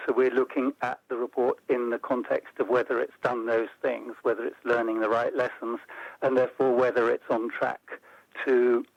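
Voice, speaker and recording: A man as an interview guest, explaining policy in a measured way and building points into lists. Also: mid-range voice, little pitch movement, gravelly, dry recording, highs cut off. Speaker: interview guest, report author. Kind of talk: radio interview.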